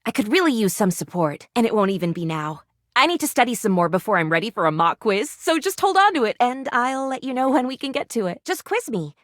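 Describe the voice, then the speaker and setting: An earnest little first aid kit doctor from a video game, with a high female voice that swoops up and down. She is dramatic and anxious, rambles in fussy formal phrases, and sounds close and dry. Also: sing-song, fairly quick, no echo. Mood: nervous, hopeful. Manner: theatrical, rambling, fussily formal. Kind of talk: animated video game character voice acting.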